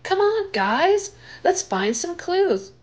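A woman voicing a hearty, brave young man who leads his friends in a storybook, urging and drawn-out. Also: extremely high pitch, very animated, voice pushed up, exaggerated voice. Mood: rallying.

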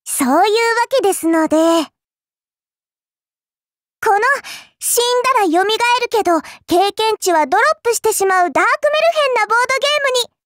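A woman's voice: very high-pitched, animated, brisk, no echo, studio quality. A woman voicing a childlike, fairy-like game girl, cutesy and polite, with a sweetly teasing, faintly condescending manner. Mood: sweetly cheerful. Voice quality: cutesy, girlish. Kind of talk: Japanese mobile game character voice lines.

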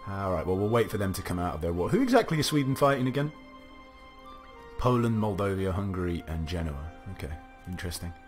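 A young man casually commentating a strategy game, thinking aloud, his voice a little gravelly from a recent cough. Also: low voice, big pitch swings, fast talker. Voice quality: slightly gravelly. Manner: musing aloud, chatty, self-talking. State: recovering from a cough, slight gravel. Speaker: let's play commentator. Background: faint game music.

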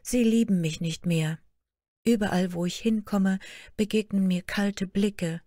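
A woman's voice: medium pitch, steady tone, unhurried, mellow, no echo, studio quality, pitched above the performer's own voice, lightly acted. A woman voicing Märchen, a young girl who is the spirit of fairy tales, pleading and tearful. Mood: plaintive.

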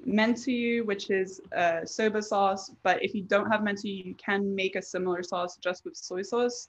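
A woman gives an informal online presentation, explaining as she goes in a conversational style. Her voice sits at a middling pitch for a woman. The sound is a little dull and lo-fi, like an online call. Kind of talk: conversational presentation over slides. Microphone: online video presentation.

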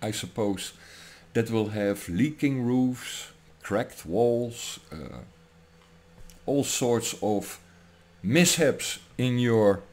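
A man's voice: medium pitch, darkish tone. A man in his sixties with a Dutch accent, rambling through a commentary vlog at his desk and often tagging his points with "huh?". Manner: digressive, tags lines with "huh?", laughs between thoughts. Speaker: political commentary vlogger. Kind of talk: rambling monologue. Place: home room, indoors. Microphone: webcam at desk.

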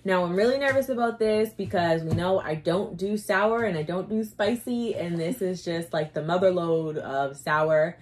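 A woman in her thirties co-hosting a casual snack review, lively, with quick asides and frequent laughter. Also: on the low side, slight background noise. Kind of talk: casual snack tasting chat. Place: indoor room before a glitter backdrop.

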